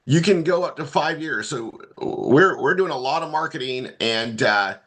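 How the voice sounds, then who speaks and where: A man who is hoarse today, running a live online marketing training with loose, repetitive, upbeat talk. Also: on the high side, animated, normal speed, a bit bright.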